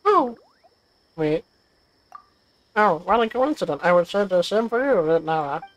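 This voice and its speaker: A woman giving casual, offhand reactions while playing a video game, in short unhurried comments. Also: lowish voice, expressive, poor audio. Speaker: gaming streamer. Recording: game music behind voice. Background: game music.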